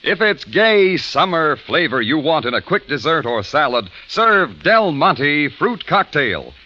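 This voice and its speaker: A man's voice: fairly high pitch, bright, forceful tone. A man announcing on an old radio program, polished and emphatic. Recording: dull old radio recording with music.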